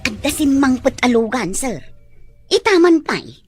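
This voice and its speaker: A woman acting a cheeky, giggly housemaid in a radio drama, exclamatory and animated. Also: sits high, over music. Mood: curious, chatty. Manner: exclamatory, animated, drawn-out delivery. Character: cheeky, giggly housemaid teasing her boss.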